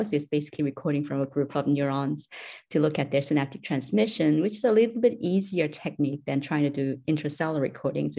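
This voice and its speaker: A middle-aged woman from South Korea, a native Korean speaker, talks through a video call about her research. Her voice sits low for a woman. She speaks thoughtfully, pausing on "um"s and slipping in light laughs. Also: gravelly, warm tone. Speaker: neuroscience professor, guest. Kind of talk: casual interview chat. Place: home room, indoors.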